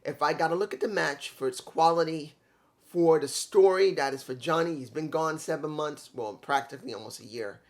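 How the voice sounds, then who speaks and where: Congested male reviewer with a slightly high voice, blunt and rambling, for a casual solo talk. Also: moderate pace. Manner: blunt, opinionated, rambling with restarts. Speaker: wrestling reviewer.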